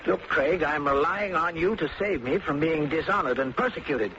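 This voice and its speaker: A man's voice: on the high side, moderate pace, natural voice. A man playing an anxious, self-pitying small-town bank manager in an old-time radio drama, fretful and worried. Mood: pleading. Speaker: supporting radio actor. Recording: muffled, noisy vintage radio recording.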